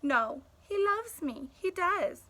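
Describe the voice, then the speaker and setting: A woman's voice: extremely high pitch, lively intonation, slow, sharp tone, voice pushed up, exaggerated voice. A woman voicing a meek, loyal, mistreated male mutt in a storybook, speaking in an earnest, pleading way. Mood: forgiving, earnest. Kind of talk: storybook character dialogue.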